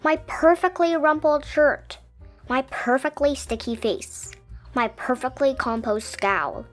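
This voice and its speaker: A woman narrates a children's storybook in a slightly high voice. She reads very slowly and expressively, leaning on key words with dramatic pauses. Soft music plays underneath. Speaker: picture book reader.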